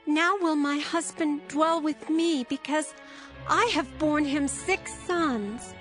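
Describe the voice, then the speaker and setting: Woman acting a high-voiced, slow, proud biblical wife. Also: fairly bright tone, faint music behind. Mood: proud, joyful. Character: Leah, proud, aggrieved biblical wife and mother. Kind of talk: dramatised audio Bible acting.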